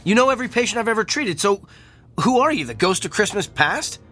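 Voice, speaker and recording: A brusque, arrogant doctor in a radio drama, who talks with a bright, forceful edge. His pitch sits a little high and swings widely. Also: big pitch swings, a little fast, faint music behind, full character voice. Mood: defensive. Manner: curt and defensive. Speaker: physician.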